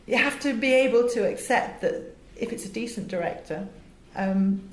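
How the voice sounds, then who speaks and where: A woman with an English accent talking as an interview guest, chatty and expressive, often breaking off and restarting. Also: fairly high pitch, normal speed. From England. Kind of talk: animated interview conversation. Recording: echoey room.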